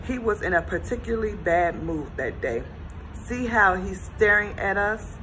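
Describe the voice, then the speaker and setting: A woman's voice: medium pitch, lively intonation, normal speed, bright, forceful tone, a little hiss or hum, rough recording. A woman reading a storybook, voicing an anxious, suspicious male fish with a jagged fin who fretfully accuses others.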